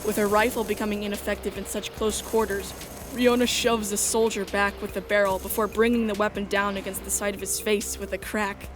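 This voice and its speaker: Female narrator, mid-pitched, scripted dramatic storytelling, first person, clear music bed underneath. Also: little pitch movement, brisk.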